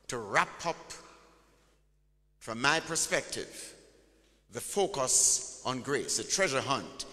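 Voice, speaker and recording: A man's voice: fairly high pitch, pushed and bright. A man preaching a sermon in a church, emphatic and call-and-response, drawing out his key words. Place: large church hall. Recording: long church hall reverb.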